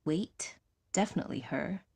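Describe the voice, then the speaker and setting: A woman reading scripted fiction as an audiodrama narrator, with a measured, literary delivery. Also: on the low side, vocal fry, a little mellow, husky.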